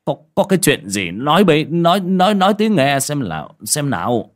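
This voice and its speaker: Man acting stammering old father, swooping pitch. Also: very animated, moderate pace. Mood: alarmed. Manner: stammering, repeating words when flustered. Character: anxious, protective old rural father, stammering and kindly. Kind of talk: audiobook character acting.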